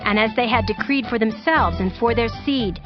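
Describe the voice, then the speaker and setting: A woman narrating a scripture audiobook from a script in a formal, measured, clearly phrased way. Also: a little high. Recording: dull band-limited audio with music bed.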